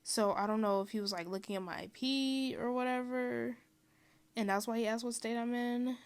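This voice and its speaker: A young woman from Mexico giving a casual, rambling voiceover, full of "um" and "like" and quick laughs. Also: fairly high pitch, steady tone, smooth. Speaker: vlogger.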